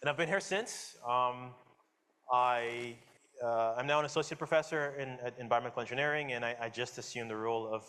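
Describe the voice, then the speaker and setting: A man in his forties with a slight Caribbean accent, his voice sitting in a middle pitch. He gives a relaxed public lecture, tossing questions out to the audience. His talk is loose and friendly, full of fillers and restarts. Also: a little fast. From Guyana, raised in Jamaica. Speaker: guest lecturer, professor.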